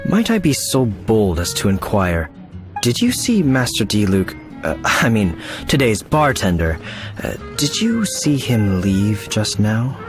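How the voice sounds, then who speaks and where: A man's voice: fairly low pitch, big pitch swings, measured pace. A man voicing an earnest, self-important city knight in a video game, formal, fussy and somewhat flustered. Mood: flustered, polite. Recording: music clearly behind the voice.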